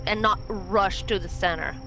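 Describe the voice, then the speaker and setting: A woman's voice: medium pitch, moderate pace, vocal fry, pushed and bright, soft background music, rough recording. A woman playing a tabletop roleplaying game, casually working out moves with the group.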